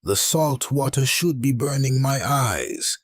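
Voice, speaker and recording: Man acting a slow, husky man adrift at sea. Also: medium pitch, animated, no echo, clean studio sound, full character voice. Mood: dazed wonder. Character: desperate man struggling at sea.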